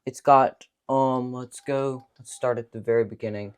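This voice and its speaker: A man's voice: medium pitch, fairly even intonation, normal speed, clean tone. A young man lays out technical radio details at home. He goes step by step and restarts a phrase now and then. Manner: explains step by step with small restarts. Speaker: ham radio operator. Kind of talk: casual technical explanation. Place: room in a home.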